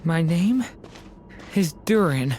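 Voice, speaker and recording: A woman voicing a gentle, unsure young dragon boy in a video game, speaking softly and hesitantly. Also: fairly low pitch, lively intonation, slow pace, a little hiss or hum. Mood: lost, faint. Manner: soft, hesitant.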